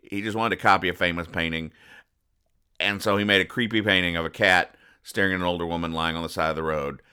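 Low, crisp-voiced man, deadpan comic commentary. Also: fast talker. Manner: deadpan, mock-exasperated. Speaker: podcast host. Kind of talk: comic commentary reading newspaper comics aloud.

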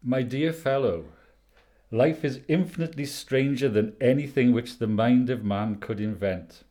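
A Welsh man voicing a Victorian male detective, declaiming in a measured way. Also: medium pitch, dark tone, lightly acted. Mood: lecturing.

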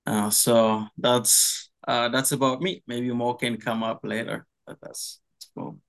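A young man from Congo speaks in an unhurried, drawn-out way, with frequent "uh"s as he gathers his thoughts. His voice sits at an ordinary middle pitch, and he laughs easily between his sentences. It sounds like a relaxed video-call chat. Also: gravelly. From Democratic Republic of Congo. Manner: slow, hesitant with many fillers, laughs easily. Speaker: pastor, guest. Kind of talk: casual interview chat.

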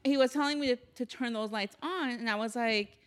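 Higher-voiced American woman, casual podcaster. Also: a little fast. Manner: explanatory, quotes dialogue with "like". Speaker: podcast co-host. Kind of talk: casual anecdotal chat.

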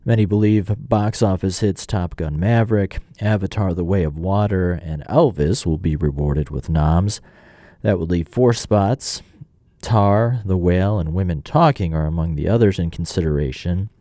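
A man's voice: deep voice, moderate pace, mellow, dry recording, clean studio sound. An American man hosting a radio arts program, reading scripted news and event details. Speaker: radio host.

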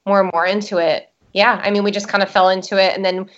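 A woman's voice: medium pitch, little pitch movement, fast talker, fairly bright tone. A young American woman, a documentary producer, answering interview questions in a chatty way with many fillers. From United States. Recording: dull lo-fi sound.

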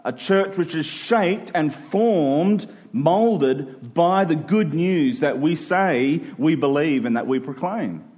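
An Australian man preaching a sermon as a church pastor, earnest and emphatic with deliberate phrasing. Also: on the high side, animated, a little mellow, lo-fi sound.

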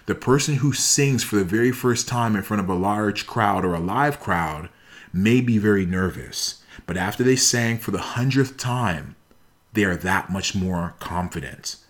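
A man with a fairly low voice delivers a motivational talk. He speaks emphatically, circling back to key phrases and repeating them to press them on the listener. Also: lively intonation, measured pace.

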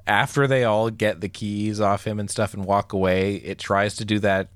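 Kinda low-voiced guy chatting movies with a buddy, jokey, cracks up a lot, does little mock quotes. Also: little pitch movement, moderate pace, clean tone, dry recording, clean studio sound. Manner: jokey, laughs often while talking, acts out mock quotes. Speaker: movie podcast co-host. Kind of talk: casual comedic movie chat.

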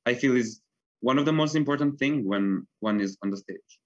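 A man's voice: medium pitch, normal speed. A young man from Serbia, a pianist, talking earnestly in a casual interview and often saying "like". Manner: earnest, frequent fillers like "like". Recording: dull lo-fi recording.

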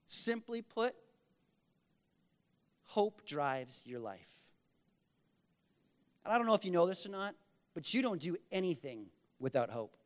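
High-voiced man preaching with emphasis. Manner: emphatic, repeats key lines for stress; swings between loud bursts and quiet asides. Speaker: pastor. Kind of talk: sermon.

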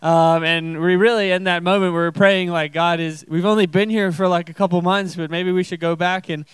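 A man's voice: high-pitched, steady tone, fairly quick, clear, resonant tone, no echo. A young man from Zimbabwe, a missionary guest speaker, giving an informal testimony to a church congregation.

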